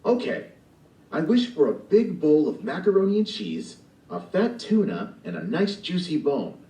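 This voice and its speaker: A man's voice: on the high side, big pitch swings, measured pace, mellow, far from the mic, pitched above the performer's own voice. A man voicing a cheerful, wide-eyed young man in a storybook play, recorded in a roomy space away from the mic. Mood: eager. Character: eager naive young man hero.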